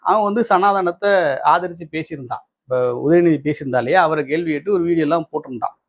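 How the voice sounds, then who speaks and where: A man with a high-pitched voice and a Tamil Nadu accent speaks as a political commentator. He addresses viewers directly in an opinionated, combative way. He often repeats a phrase to drive it home, sounding indignant. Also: fast talker.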